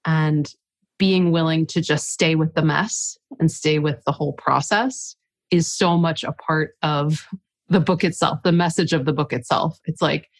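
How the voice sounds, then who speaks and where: A woman's voice: low voice, no room sound. A woman author and coach, slightly stuffy from a cold, telling her story earnestly as a podcast guest. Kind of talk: casual podcast interview.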